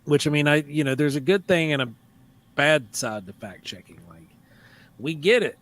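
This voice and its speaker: A man's voice: fairly high pitch, moderate pace. A man hosting a live paranormal talk show, chatting casually and animatedly, sometimes mocking critics. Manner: animated, mocking, trails off and restarts. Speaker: podcast host. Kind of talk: casual live radio chat.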